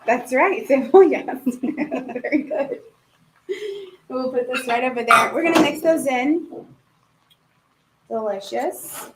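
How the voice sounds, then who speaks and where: A woman's voice: high-pitched, slow pace, pauses often, background noise. A woman leading a live cooking demo in a kitchen, chatty and upbeat, with lots of fillers. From Puerto Rican family. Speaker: cooking instructor.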